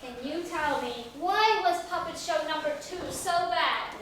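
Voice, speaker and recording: Very high-pitched woman teaching in echoey room. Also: expressive, measured pace, a bit bright. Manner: simple, repetitive phrasing with questions to pupils. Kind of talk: classroom instruction to children. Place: classroom. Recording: very echoey room, distant mic, faint noise.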